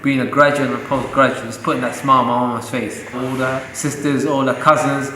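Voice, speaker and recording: A man's voice: medium pitch, fairly even intonation, fairly quick. A young man, a professional boxer born in Saudi Arabia, speaks earnestly and proudly in a pre-fight interview, with the odd joke. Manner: earnest and proud, joking now and then. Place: boxing gym. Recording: echoey gym with loud ambient noise.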